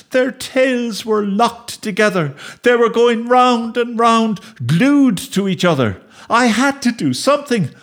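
A man's voice: very high voice, voice pushed up. A man voicing a distraught, guilt-stricken young boy in a melodramatic, wailing way for a comic story.

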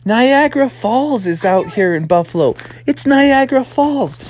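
A woman voicing an excitable, reckless, cheerful father frog in a children's story, with drawn-out, carefree exclamations. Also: on the high side, pitched above the performer's own voice. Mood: cheerful, eager.

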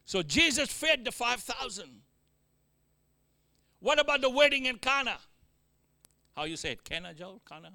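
A man with a Fijian accent preaching a sermon, calling out amens to the congregation, mixing joking asides with exhortation and swinging from loud to quiet. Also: extremely high pitch, expressive, normal speed, gravelly, pauses often. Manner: exhorting, with frequent amens and call-outs to the congregation.

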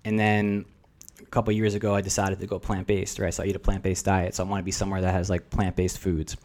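A young man with a fairly low voice speaks in a relaxed, meandering way. He is a guest on a podcast, full of "like" and "um" as he thinks aloud about life. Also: fast talker. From New Jersey. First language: Russian. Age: 20s. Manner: reflective, rambling, filler-heavy. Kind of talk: casual chat.

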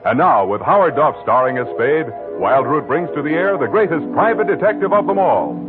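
A man's voice: lively intonation, a little fast, over music. A male radio announcer reading an old-time sponsor commercial in a showy salesman style. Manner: booming, showy salesman delivery. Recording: tinny old radio broadcast.

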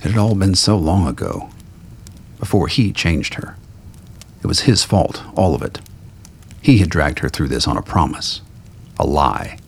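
A man reading scripted dramatic fiction as a narrator, with a gravelly, husky voice. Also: deep voice, big pitch swings, a little slow, pauses often, a little hiss or hum.

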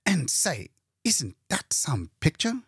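Man playing a booming, jovial, self-important giant doctor. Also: huge pitch swings, slow pace, vocal fry, fairly bright tone, husky, no room sound, studio quality, exaggerated voice. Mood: hearty, gushing. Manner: hearty, pompous, emphatic.

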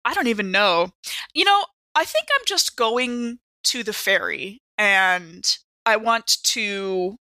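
A woman with a bright, forward voice plays in a tabletop horror game. She improvises casually with wry, playful delivery, recorded close and dry. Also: mid-range voice, expressive, takes it slowly, no room sound. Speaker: player in actual-play podcast. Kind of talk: casual in-character improvised roleplay.

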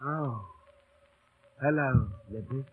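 An actor in an old radio drama playing a middle-aged, down-at-heel busker, proud yet beaten and pleading, with colloquial working-class speech and theatrical delivery. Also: male voice, medium pitch, takes it slowly, slight background noise, close to an everyday voice. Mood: sheepish, evasive. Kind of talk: 1930s-40s radio drama acting. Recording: old radio broadcast, phone-like narrow band.

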